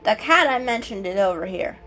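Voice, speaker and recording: A woman voicing an inquisitive cat friend in a storybook reading, with a direct, animated manner. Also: mid-range voice, fairly quick, faint music behind. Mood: excited.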